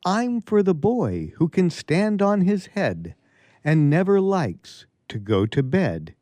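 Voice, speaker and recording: A man on a radio show reading short verse and bantering in a droll, mannered, deliberate way. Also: high-pitched, big pitch swings, dark tone, dry recording. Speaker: control-room colleague and poem reader on a radio show.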